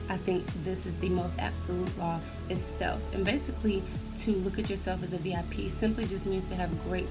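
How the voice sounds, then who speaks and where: A woman's voice: on the low side, rough recording. A woman hosting a reading vlog in casual, slangy, upbeat, encouraging chat. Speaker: host of a book-reading vlog. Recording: music playing behind the voice. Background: background music.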